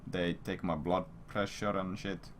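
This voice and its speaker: A man with a very deep voice rambles through a crude, sweary anecdote in a casual streamer style. The recording has clear background noise, with computer keyboard sounds behind him. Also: steady tone, a little slow. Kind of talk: casual storytelling to stream viewers.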